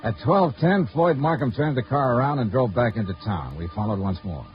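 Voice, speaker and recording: An actor playing a terse, cynical insurance investigator in a mid-century radio detective drama, narrating and questioning in a clipped, wry manner. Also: male voice, medium pitch, expressive, brisk, close to an everyday voice. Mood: brisk narrating. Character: hardboiled insurance investigator, male, brisk, cynical, commanding. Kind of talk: 1950s radio detective acting, clipped first-person narration. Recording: dull vintage radio recording, music behind.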